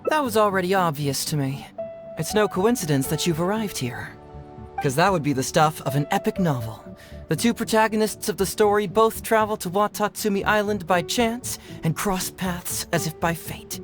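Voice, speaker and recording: An actor voicing a playful, self-assured young detective in a video game, chatty and theatrically flattering. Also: male voice, high-pitched, moderate pace. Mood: confident, teasing. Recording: faint music behind the voice.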